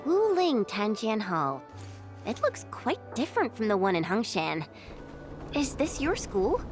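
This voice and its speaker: A woman's voice: fairly high pitch, huge pitch swings, measured pace. An actress playing an earnest, caring young woman companion in a video game, speaking expressively. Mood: curious. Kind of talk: video game story dialogue. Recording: game music behind the voice.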